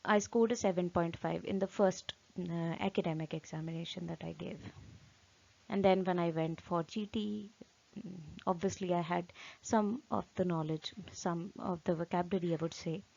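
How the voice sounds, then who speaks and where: An Indian-accented woman in her thirties gives an informal online lesson. Her voice sits low and carries a gravelly fry. She rambles and corrects herself, and the audio sounds dull and lo-fi. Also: steady tone, moderate pace, a little mellow. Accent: Indian English. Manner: rambling, many fillers and self-corrections, frequent "right?". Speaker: IELTS trainer. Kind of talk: informal online teaching talk.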